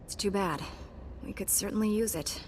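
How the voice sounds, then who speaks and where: A woman's voice: medium pitch, moderate pace, darkish tone, slight background noise, lightly acted. A woman voicing a composed, confident warrior heroine in a science fiction video game. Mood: composed, firm.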